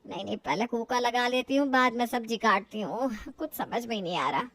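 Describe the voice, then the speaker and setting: A stubborn elderly mother-in-law played by a woman in an audio storybook. She is high-voiced and indignant, grumbling and scolding as she goes. The recording is dry and close. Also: expressive, brisk, fairly bright tone, no room sound. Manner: indignant, grumbling, talks to herself.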